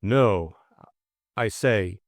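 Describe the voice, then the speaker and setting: A man's voice: on the low side, very slow, no echo, clean studio sound. A man voicing an earnest, fretful young upper-class Englishman who bumbles hesitantly through what he means to say in an audiobook. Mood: impressed. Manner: hesitant, bumbling, repeating himself.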